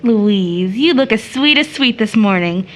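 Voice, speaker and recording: An actress playing an affectionate young woman in an audio drama, with a tender and expressive manner. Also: medium pitch, unhurried, natural voice. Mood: playful, tender. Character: Rachel, affectionate young woman doting on small children. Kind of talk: stage play audio drama acting.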